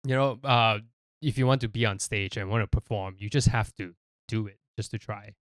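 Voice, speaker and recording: A man's voice: lowish voice, normal speed, no echo, studio quality. A man from Malaysia hosting a casual podcast, explaining things in a rambling way and often checking in with "right?" and "you know". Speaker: podcast host.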